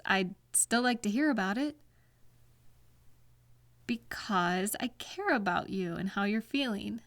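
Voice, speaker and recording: A woman playing a caring, patient girlfriend in a soft-spoken ASMR roleplay, speaking gently and reassuringly to comfort a partner. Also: medium pitch, dry recording, studio quality. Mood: gentle, caring.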